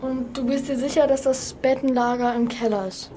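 A woman's voice: sits high, steady tone, normal speed, mellow, slight background noise, close to an everyday voice. A woman playing an anxious, sceptical young boy who acts and narrates in a children's radio play, sounding hesitant. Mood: wary. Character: anxious, doubting young boy, narrating his runaway adventure.

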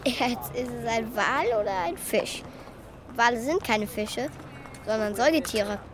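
A woman's voice: fairly high pitch, animated, unhurried, slight background noise. A woman playing a naive, earnest wooden puppet boy in a children's radio play, precocious and pedantic.

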